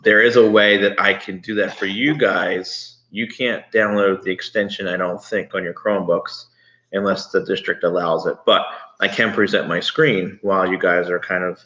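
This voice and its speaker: Low-voiced male teacher, bright but lo-fi. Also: fairly even intonation, moderate pace. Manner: conversational, instructive. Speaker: third grade teacher. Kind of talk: informal online read-aloud and assignment talk to pupils. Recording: dull lo-fi sound.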